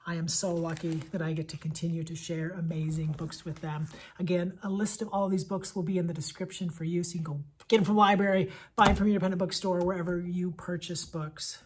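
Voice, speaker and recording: A woman with a husky voice talking as an elementary school teacher in a casual vlog, enthusiastic and conversational, leaning on repetition for emphasis. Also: lowish voice, little pitch movement, fast, a little mellow, a little hiss or hum. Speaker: elementary school teacher presenting picture books. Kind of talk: casual vlog book recommendations.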